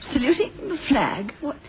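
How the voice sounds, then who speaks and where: An actress playing an anxious, gracious wife in a radio mystery drama, with an emotive, expressive delivery. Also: female voice, sits high, takes it slowly, full character voice. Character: anxious, gracious wife, stepmother of grown son. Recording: noisy, phone-like old radio recording.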